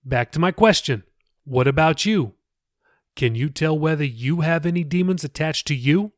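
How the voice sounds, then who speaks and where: A man's voice: on the high side, normal speed, no room sound, studio quality, lightly acted. A man reading an audiobook, voicing an earnest, persuasive pastor who talks casually and presses with questions. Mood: probing. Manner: colloquial, insistent, questioning. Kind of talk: audiobook character dialogue.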